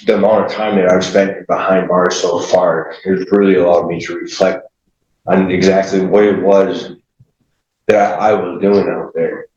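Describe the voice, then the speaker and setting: A man in his forties speaking as a defendant, making a halting, contrite statement in court. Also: deep voice, darkish tone. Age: 40s. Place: echoey indoor courtroom. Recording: echoey, muffled, faint noise.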